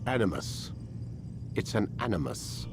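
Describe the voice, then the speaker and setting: A man's voice: on the low side, big pitch swings, a little slow. An actor playing a cold, condescending older male scientist in a video game cutscene, clipped and menacing. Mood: smug, menacing. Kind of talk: dramatic game cutscene acting. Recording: dramatic music behind the voice. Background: music.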